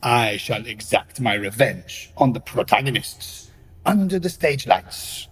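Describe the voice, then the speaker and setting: A man's voice: mid-range voice, animated, a little slow, slightly rough. A man playing a bitter, vengeful, scheming villain in a radio play, with a grandiose manner. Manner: grandiose, embittered. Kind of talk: theatrical radio play monologue.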